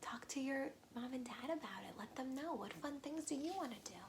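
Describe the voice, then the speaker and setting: A woman reading a storybook aloud to young children, expressive and pausing to ask the listeners questions. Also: high-pitched, slight background noise.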